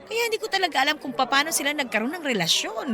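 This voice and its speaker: A woman playing a chatty, excitable middle-aged mother confiding in her best friend in a radio drama. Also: sits high, fast, crowd noise. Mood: intrigued, teasing. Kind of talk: conversational radio drama acting.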